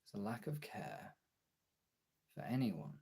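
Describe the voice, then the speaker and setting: A man with a gravelly, husky voice in a casual, personal chat to viewers, halting and candid, swearing often. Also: lowish voice, big pitch swings, dark tone. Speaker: streamer.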